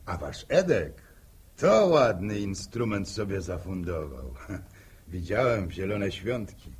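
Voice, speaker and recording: An actor playing an easygoing older village farmer in an old radio drama, with a husky voice and rustic phrasing. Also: male voice, deep voice, sing-song, darkish tone, exaggerated voice. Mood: relaxed, folksy. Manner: unhurried, rustic folksy phrasing. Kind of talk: 1960s radio drama acting.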